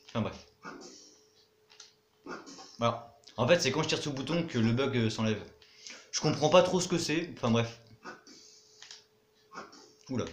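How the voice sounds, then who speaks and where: A man's voice: medium pitch, reverberant, slight background noise. A young man giving casual commentary over his own gameplay, chatty, muttering to himself and swearing now and then. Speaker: gaming YouTuber.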